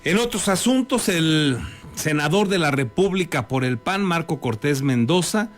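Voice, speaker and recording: A man's voice: on the high side, animated, unhurried, soft background music. A man with a Mexican accent presenting scripted news as an anchor.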